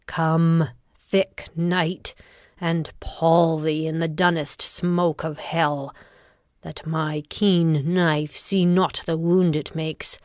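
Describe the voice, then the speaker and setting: A woman playing a ruthless, ambitious noblewoman in a deliberate, intense Shakespearean audiobook performance. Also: low voice, a little mellow, dry recording, studio quality. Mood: dark invocation. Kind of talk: dramatic Shakespearean audiobook reading.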